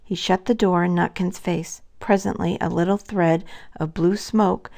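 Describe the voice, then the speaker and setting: A woman's voice: lowish voice, steady tone, a little slow, creaky, darkish tone. A woman narrating a scripted children's story as a storybook reader.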